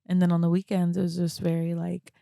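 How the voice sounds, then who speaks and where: A young woman talking reflectively and casually as a podcast guest, halting often and peppering her speech with "like". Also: fairly low pitch, completely flat delivery, gravelly, mellow, no echo, studio quality. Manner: halting, frequent "like" as verbal filler.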